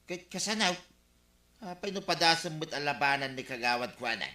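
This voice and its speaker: A man's voice: fairly high pitch, expressive, normal speed, pushed and bright. An actor playing an ambitious, conniving village man in a Filipino comedy radio drama, with an Ilocano accent. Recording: roomy echo, music underneath.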